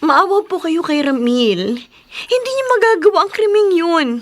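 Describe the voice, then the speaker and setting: A woman's voice: extremely high pitch, animated, moderate pace. A woman with a Filipino accent playing a distraught woman pleading in a radio drama. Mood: frantic pleading. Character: distraught woman pleading for an accused loved one.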